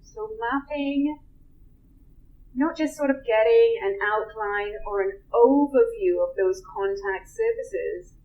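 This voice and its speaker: A woman guides a relaxation meditation in a soft, unhurried voice that sits a little high. She speaks very slowly and leaves long pauses between phrases, letting each instruction settle before moving on. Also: muffled recording.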